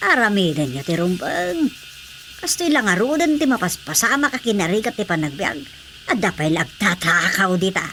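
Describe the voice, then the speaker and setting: An actress playing a nagging wife in an Ilocano radio drama comedy, speaking with an Ilocano accent. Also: female voice, medium pitch, big pitch swings, moderate pace, fairly bright tone, a little hiss or hum. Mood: scolding. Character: nagging wife pressing her husband, the village leader.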